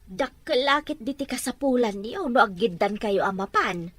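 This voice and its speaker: A woman acting a practical middle-aged mother in an Ilocano audio drama, husky, with a Filipino Ilocano accent. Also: on the high side, expressive, normal speed, dry recording. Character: practical mother of a grown daughter, urging plans forward.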